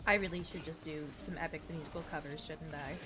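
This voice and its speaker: A woman live-streaming a video game, chatting in a rambling, playful way with a relatively deep voice. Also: steady tone, quick pace, very noisy. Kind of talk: casual chat with gamers and viewers, bursts of singing. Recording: music behind the voice. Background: music.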